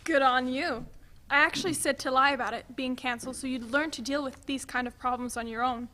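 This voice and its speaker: A woman plays a helpful guiding spirit in a stage play reading. The voice is high and bright, talking at a quick clip. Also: steady tone. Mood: matter-of-fact. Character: brisk, helpful female spirit who guides people. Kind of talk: dramatic reading of a student play.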